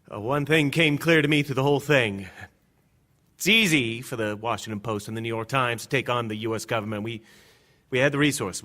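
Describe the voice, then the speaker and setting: A man playing an earnest, halting newspaper executive. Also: mid-range voice, pushed and bright, close to an everyday voice. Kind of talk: radio play acting.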